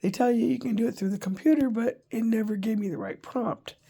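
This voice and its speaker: Congested older woman, gravelly voice. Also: on the high side, fairly quick, dark tone. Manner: rambling, chatty, wry asides. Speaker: vlogger. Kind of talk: casual chat to camera.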